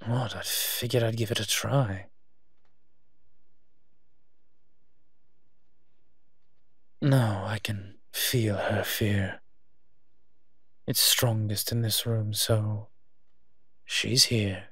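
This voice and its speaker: A man playing a wry, teasing monster who partners a human detective in a roleplay audio drama, speaking with hums and pauses. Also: fairly low pitch, fairly even intonation, a bit bright, husky, dry recording, full character voice. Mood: calm, focused.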